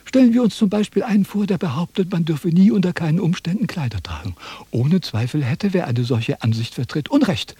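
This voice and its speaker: An actor in a radio play playing a pompous, quarrelsome Chinese philosopher who lectures and declaims theatrically. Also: male voice, fairly high pitch, lively intonation, fairly quick, few pauses, warm tone, husky, no echo. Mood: pompous lecturing. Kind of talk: German radio play acting.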